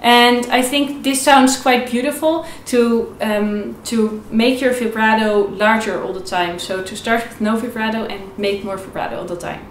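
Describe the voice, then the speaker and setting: A woman's voice: on the high side, fairly even intonation, normal speed, few pauses, soft background music. A young woman giving an informal, step-by-step instructional lesson as a violin teacher, repeating key points in an encouraging way. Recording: echoey room. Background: violin playing between phrases.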